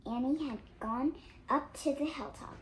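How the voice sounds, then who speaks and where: An eight-year-old girl reading a storybook aloud, carefully and a little haltingly, with expression. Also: sits high. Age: child of 8. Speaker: young reader. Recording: noisy home recording.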